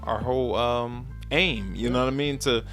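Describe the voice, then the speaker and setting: A man co-hosting a podcast in relaxed, joking banter, quick to agree with his partner. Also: medium pitch, faint music behind. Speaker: podcast co-host. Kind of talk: casual podcast chat.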